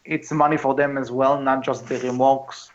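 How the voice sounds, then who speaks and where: A man co-hosting a podcast in casual discussion, speaking in a hesitant, halting way. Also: mid-range voice, little pitch movement. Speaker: podcast co-host. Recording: dull, muffled lo-fi mic.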